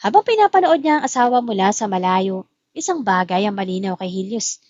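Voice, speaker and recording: A woman's voice: mid-range voice, lively intonation, a little fast, a little mellow, no echo, dull audio. A woman as narrator, reading a scripted love story in dramatic style. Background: music.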